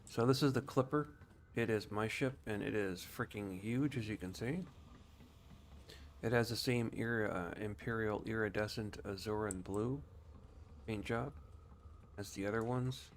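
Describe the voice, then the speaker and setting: A man streaming a video game, explaining things in a casual, rambling, unhurried way. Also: fairly low pitch. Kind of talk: casual gameplay commentary. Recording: faint background noise.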